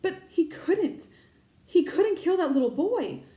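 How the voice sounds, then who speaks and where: A woman's voice: very high voice, expressive, a little slow, warm tone, voice pushed up. A woman voicing a stern, anxious older witch professor from a storybook, exasperated and emphatic, faltering when upset, in an echoey room. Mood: shocked, grieving.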